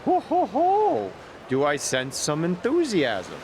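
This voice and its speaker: A man's voice: high voice, huge pitch swings, takes it slowly, exaggerated voice. A man voicing a talkative, teasing amateur detective type in a video game, wordy and playful. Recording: clear outdoor background noise.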